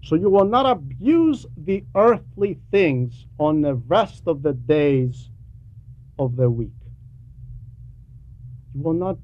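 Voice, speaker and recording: A man preaching a sermon with emphatic, deliberate phrasing and frequent pauses. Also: on the high side, lively intonation. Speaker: preacher reading a translated homily. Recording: dull lo-fi recording with background noise.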